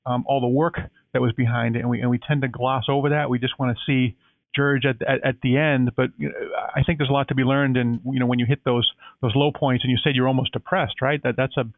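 A man's voice: medium pitch, little pitch movement, fast talker, no echo. A man hosting an interview, asking long, rambling questions full of fillers. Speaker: interview host. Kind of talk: casual interview. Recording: tinny phone-like line.

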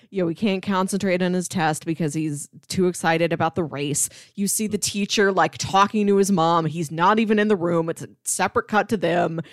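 A woman with a slightly low voice chats about movies in a dry, close recording. She is enthusiastic and analytical, rambling through her thoughts with plenty of "like" along the way. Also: no echo. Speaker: film podcast host. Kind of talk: casual film discussion.

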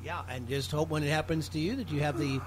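A man's voice: a little high, steady tone, fast. A man chatting casually and reflectively in a group, a little hesitant, from a small hollow room. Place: small untreated room in new studio.

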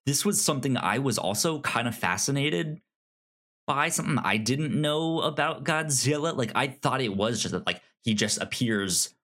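A man co-hosting a casual film discussion podcast, speaking haltingly and often stammering over repeated syllables. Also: mid-range voice, lively intonation, fairly bright tone. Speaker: podcast co-host.

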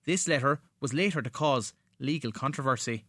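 An Irish man hosting a radio programme, reading scripted links in a formal, deliberate manner. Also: medium pitch, fairly bright tone, no room sound, clean studio sound. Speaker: radio show host.

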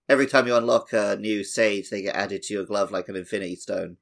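A man's voice: fairly low pitch, steady tone, quick pace, vocal fry. A man co-hosting a podcast in a casual, jokey and informal way. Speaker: podcast co-host. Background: music.

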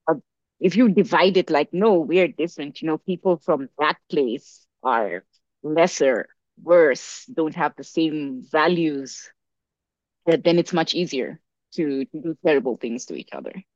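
A woman's voice: sits low, a little slow, dry recording, muffled recording. A young woman from Africa, a podcast guest, talking casually and telling a story with lots of fillers and restarts. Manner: animated storyteller with many fillers. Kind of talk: casual conversation.

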